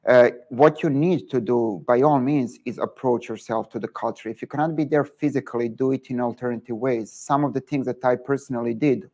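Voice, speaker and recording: A man's voice: medium pitch, a little mellow. A man with a Serbian accent, a guest entrepreneur in an online interview, giving earnest advice with many filler words.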